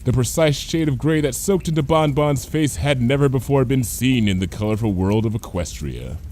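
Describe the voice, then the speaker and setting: A man reading a scripted comedic story as narrator, in an expressive storytelling manner. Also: medium pitch, normal speed, a little hiss or hum.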